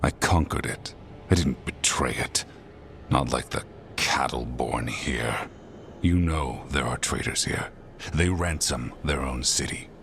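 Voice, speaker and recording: An actor playing a proud, menacing, possessive warlord conqueror in a video game, speaking in a grandiose, theatrical way with a deep gravelly voice. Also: male voice, measured pace, fairly bright tone, full character voice. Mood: contemptuous, intense. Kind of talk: dramatic video game acting. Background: prominent background music.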